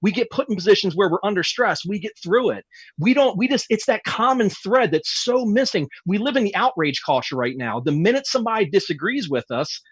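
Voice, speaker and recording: A man's voice: sits high, lively intonation, brisk, slightly rough, no echo. A man, a military veteran on a panel, speaking emphatically in a ranting way. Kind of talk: panel discussion.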